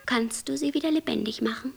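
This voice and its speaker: Angel for poetic radio drama: very high voice, inquisitive, persistent, naive. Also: female voice, a little fast, creaky, slightly rough, exaggerated voice. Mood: pleading, insistent. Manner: questioning, insistent. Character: curious, persistent angel guest, naively questioning. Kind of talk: poetic radio play dialogue.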